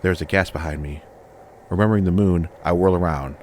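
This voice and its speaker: A man reading a scripted first-person fantasy story as narrator, in measured, dramatic, deliberate phrases. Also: deep voice, darkish tone, a little hiss or hum. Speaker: audiobook narrator.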